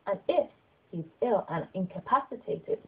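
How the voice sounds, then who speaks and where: A woman voicing a kindly, humble old bishop in an audiobook, speaking in an earnest, measured way with gentle persuasion. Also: medium pitch, lively intonation, breathy edge, narrow, tinny audio. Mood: earnest, exhorting. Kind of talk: audiobook character dialogue.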